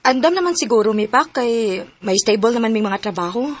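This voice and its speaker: A woman's voice: on the high side, animated, brisk. An actress playing a worried young woman pressing her partner about their relationship in a radio drama. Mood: anxious. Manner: pleading, questioning.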